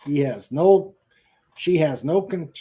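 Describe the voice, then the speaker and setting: A man's voice: fairly high pitch, animated, slow pace, mellow. A man hosting a casual live chat, reading out viewer comments in a hesitant, rambling way and repeating his phrases. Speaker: show host. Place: at home in a room with a couch.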